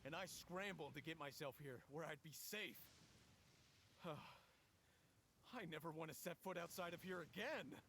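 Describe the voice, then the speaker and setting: Fretful, frightened merchant. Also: male voice, on the high side, a little slow, creaky, long pauses, sharp tone, a little hiss or hum, exaggerated voice. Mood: shaken, relieved. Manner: anxious, fretful. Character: rattled, fearful male merchant who was robbed. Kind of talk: video game voice acting.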